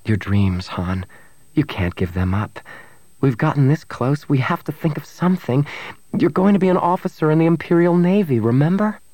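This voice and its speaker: A man voicing a gentle, devoted young woman in an audiobook, speaking softly and with feeling. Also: low-pitched, flat delivery, takes it slowly, no room sound. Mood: earnest, pleading. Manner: soft, emotional delivery.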